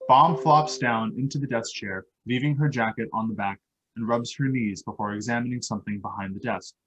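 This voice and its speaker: A man's voice: mid-range voice, fairly even intonation, brisk, fairly bright tone, breathy edge, poor audio. A man reading stage directions as scripted narration for a play.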